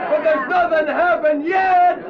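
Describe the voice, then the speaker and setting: Shrill, scoffing heckler. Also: male voice, fairly even intonation, unhurried. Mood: mocking. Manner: shouting, mocking. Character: scoffing male heckler in a crowd. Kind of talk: dramatized Bible radio play. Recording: muffled, distant, noisy crowd din.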